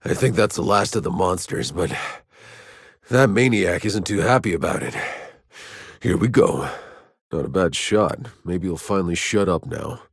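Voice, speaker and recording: An actor playing a sardonic, gruff mercenary survivor in a video game, speaking in a wry, terse manner. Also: male voice, low-pitched, expressive, normal speed, pauses often, dry recording, clean studio sound, full character voice. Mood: sardonic, firm.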